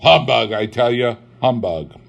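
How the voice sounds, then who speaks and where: A man voicing a cold, sharp-tongued old miser, speaking loudly and nervously. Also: medium pitch, unhurried, vocal fry, muffled recording. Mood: defiant. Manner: caustic, raised, nervy. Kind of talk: audiobook character voice.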